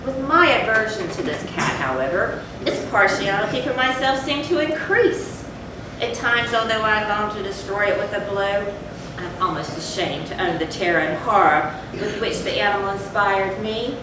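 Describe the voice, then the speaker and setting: A woman playing a guilt-tormented narrator of a gothic horror tale in a deliberate, theatrical stage recitation. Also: lively intonation, natural voice. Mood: loathing, dread. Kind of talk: dramatic readers-theatre recitation of a gothic story. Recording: very echoey stage, distant mic, noisy rumble.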